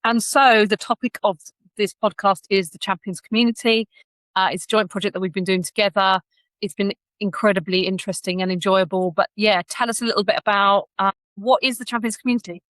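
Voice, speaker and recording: A woman's voice: medium pitch, fairly even intonation, brisk, a bit bright, dry recording. A woman hosting a podcast in a conversational, enthusiastic and effusive way. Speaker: podcast host.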